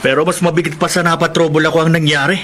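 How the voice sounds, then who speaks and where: A man's voice: a little high, level tone, rapid-fire, a bit bright, natural voice. A man playing a resentful, hot-headed young son of a rich family in a Filipino radio drama. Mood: bitter, resentful.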